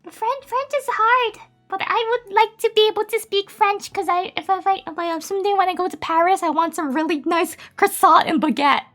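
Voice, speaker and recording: A woman's voice: very high-pitched, a little fast, hardly any pauses, a bit bright, faint music behind. A woman streamer whose native language is Japanese, chatting casually and giggling often, with many fillers. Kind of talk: casual live-stream chat.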